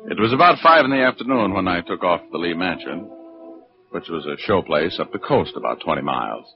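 Brash 1940s radio detective, low-voiced. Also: male voice, expressive, a little fast, music in the background. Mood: wry narrating. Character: brash, wisecracking male private detective, stubborn. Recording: muffled, noisy 1940s radio recording.

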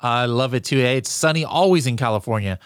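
A man's voice: medium pitch, fast, clear, resonant tone, no room sound, clean studio sound. A man hosting a podcast interview, upbeat and jokey, with frequent fillers. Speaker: podcast host. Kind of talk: casual interview chat.

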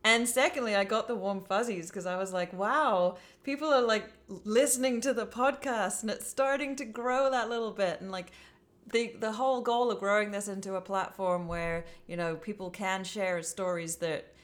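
A woman's voice: mid-range voice, normal speed. A woman in her forties co-hosting a podcast, bubbly and rambling, with lots of ums and likes. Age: 40s. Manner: bubbly, rambling, many fillers. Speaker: podcast co-host. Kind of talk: casual podcast chat.

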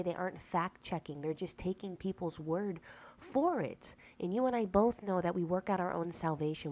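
A woman's voice: lowish voice, dark tone. A woman hosting a preaching-style podcast, earnest and emphatic, a little sick, checking in with the listener through rhetorical asides. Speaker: podcast host.

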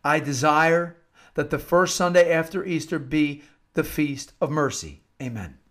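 High-voiced American man preaching earnestly. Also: expressive, unhurried, fairly bright tone. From United States. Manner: earnest, emphatic, repeats phrases for emphasis. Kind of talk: informal devotional talk with scripture-like readings.

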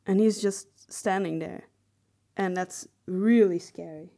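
A woman's voice: mid-range voice, takes it slowly, vocal fry, mellow. Woman thoughtfully talking through film analysis. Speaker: podcast co-host. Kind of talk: casual film discussion.

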